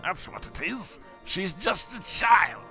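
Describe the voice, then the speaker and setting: A man's voice: high-pitched, expressive, slow, music in the background. A man playing a scandalized, disapproving gossip in a comic-book audio drama. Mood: disgusted.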